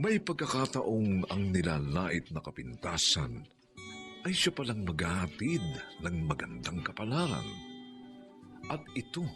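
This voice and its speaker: A male narrator reads solemn radio narration. His voice is deep with very wide swings of pitch, over prominent music. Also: huge pitch swings, unhurried.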